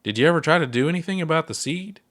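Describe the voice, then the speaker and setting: A man's voice: fairly high pitch, expressive, racing, fairly bright tone, voice pushed up. A man reading aloud, voicing an earnest, worried young alien astronaut who presses with eager questions in a raised voice. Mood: urgent.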